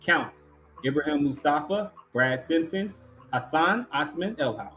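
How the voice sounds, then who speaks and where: A man's voice: on the high side, expressive, measured pace, a bit bright, soft background music. A man hosting an awards ceremony, announcing categories and finalists and reading out remarks.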